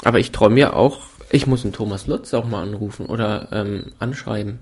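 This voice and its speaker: Husky-voiced man with a cold. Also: lowish voice, brisk, a little mellow. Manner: teasing, joking, laughs often. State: has a slight cold. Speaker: podcast co-host. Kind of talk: casual chat. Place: indoor room with a camera.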